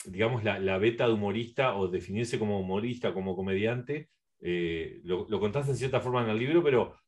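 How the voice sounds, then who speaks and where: A man's voice: on the low side, brisk, a little mellow. A man with a Uruguayan accent hosting a casual radio interview, rambling his way around his questions.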